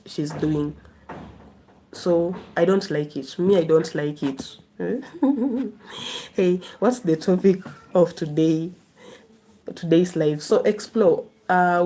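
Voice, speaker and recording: Woman, live-stream host, low-ish mellow voice, easy chatty delivery with frequent laughs. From Kenya. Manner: chatty, laughs often, answers viewers by name. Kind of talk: casual live chat reading viewer comments.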